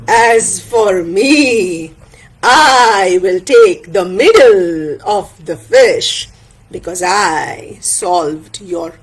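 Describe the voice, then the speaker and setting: Woman doing old male village elder, storybook, stern, sly, unhurried drawn-out delivery, pondering, authoritative. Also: medium pitch, slightly rough, voice pushed down, exaggerated voice. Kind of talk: oral storytelling character voice.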